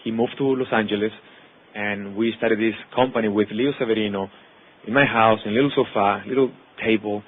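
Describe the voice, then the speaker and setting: Mexican accent, man, thirties, earnest testimony, husky voice, phone-like audio. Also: fairly low pitch, fairly even intonation, brisk. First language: Spanish. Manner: earnest, lists words in long runs. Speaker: actor and producer speaking to an audience. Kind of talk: personal testimony speech. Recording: tinny phone-like sound.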